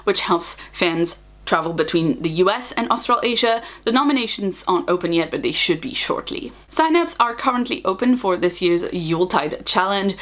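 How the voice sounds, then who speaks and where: A woman's voice: medium pitch, a bit bright. A woman hosting a scripted news round-up for online viewers, speaking with enthusiasm and the odd wry aside. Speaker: host of a book and genre news show.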